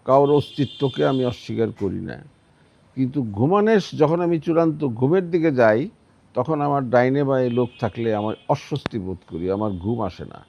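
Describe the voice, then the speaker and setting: A man's voice: mid-range voice, vocal fry, a little mellow. An older man with a Bangladeshi accent, recounting personal memories in conversation, emphatically repeating phrases for stress. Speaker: interviewee, former armed fighter. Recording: dull, slightly muffled sound.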